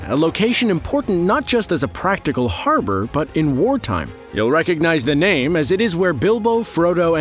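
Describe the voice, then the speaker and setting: A man narrating a scripted explainer in a wry, playful, conversational way, with joking asides. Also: on the high side. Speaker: presenter of a fantasy lore explainer video.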